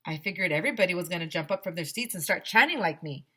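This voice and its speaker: A middle-aged woman reading a children's story aloud like a teacher, with expressive, theatrical emphasis into a headset microphone. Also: lowish voice, fast, husky. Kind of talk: animated children's story read-aloud in a schoolboy's first person. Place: small decorated room indoors.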